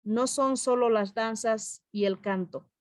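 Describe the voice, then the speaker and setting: A woman's voice: a little high, measured pace, dry recording, clean studio sound. A woman from Bolivia with a Bolivian Spanish accent, a culture ministry representative giving a solemn, declamatory prepared speech over a video call, with a few hesitations.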